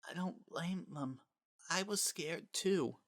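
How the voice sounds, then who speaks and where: Small monster boy, played by a man: high-pitched, fragile, sorrowful and pleading, for a dramatic audiobook-style fantasy story. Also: slow, a bit bright, dry recording, clean studio sound, exaggerated voice. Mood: subdued, sad. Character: frightened young monster boy, gentle and sorrowful.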